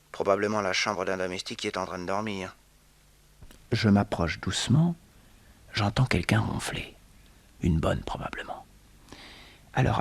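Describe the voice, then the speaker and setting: A man's voice: deep voice, vocal fry, slightly rough, heavily acted. A man playing a young detective who tells his adventure with suspense, in a 1960s radio serial. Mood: hushed, wary. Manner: storytelling, suspenseful, addressing listeners. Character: jaunty young male detective telling his own adventure.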